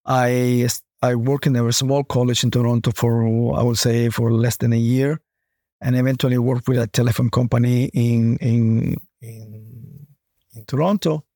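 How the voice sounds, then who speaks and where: Halting middle-aged man from Honduras. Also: medium pitch, flat delivery, dark tone, dry recording, clean studio sound. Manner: halting, repeats words and restarts. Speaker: international student recruitment manager. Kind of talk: interview guest, conversational.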